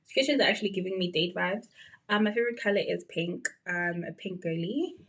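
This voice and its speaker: A woman's voice: lowish voice, brisk, fairly bright tone, dull audio. A woman in her twenties with a South African accent, chatting casually to her viewers with plenty of fillers. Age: 20s. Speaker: beauty vlogger. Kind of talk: casual get-to-know-me chat.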